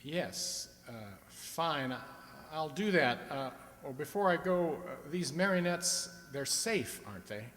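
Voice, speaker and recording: A man's voice: a little high, expressive, measured pace, hardly any pauses, fairly bright tone, a little hiss or hum. A man acting in a radio play as a henpecked, nervously eager husband, hesitant and wheedling, recorded in an echoey hall. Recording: echoey hall with long reverb.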